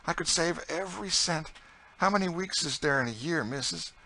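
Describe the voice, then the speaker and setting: A male narrator plays an enslaved woman who cooks, motherly and eager. The voice is lifted high and pushed out loud and bright, in a folksy dialect full of emphatic exclamations. It belongs to an audiobook story. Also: animated, moderate pace, low-quality recording. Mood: delighted. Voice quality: folksy dialect speech with dropped sounds. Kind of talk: audiobook character dialogue.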